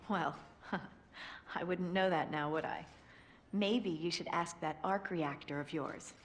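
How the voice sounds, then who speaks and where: A poised, teasing businesswoman in a video game, heard in a very echoey space from off the mic. Her voice is slightly low, coy and dry, with clear noise behind it. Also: slow. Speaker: video game character actor. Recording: echoey, distant, noisy room sound.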